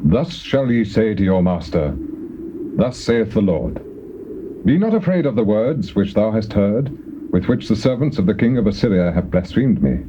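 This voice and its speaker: Man acting a low-voiced stern prophet, noisy tape. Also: sing-song, normal speed. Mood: solemn reassurance. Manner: solemn, declamatory. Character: stern male prophet declaring God's wrathful judgement. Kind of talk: dramatized scripture reading, classical stage delivery. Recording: noisy, muffled old cassette tape.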